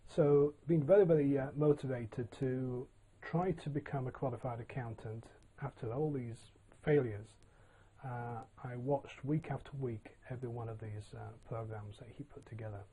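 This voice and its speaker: An older man from Yorkshire telling his own career story in a relaxed, plain-spoken way, with frequent ums and uhs. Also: mid-range voice, moderate pace, slight background noise, poor audio. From Yorkshire, England. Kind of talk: casual autobiographical monologue.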